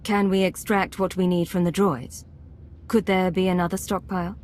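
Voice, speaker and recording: Woman playing a low-voiced, cool secret agent. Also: level tone, normal speed, a little mellow, slight background noise, lightly acted. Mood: focused. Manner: controlled, dry. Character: cool, businesslike female imperial secret agent. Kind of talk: video game cinematic acting.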